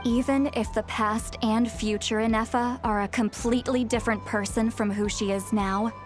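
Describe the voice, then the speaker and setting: A woman's voice: on the high side, fairly even intonation, moderate pace, faint music behind, natural voice. A woman voicing a wary, stern self-styled granny with a villainous streak and a dry, commanding manner in a video game. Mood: grudging.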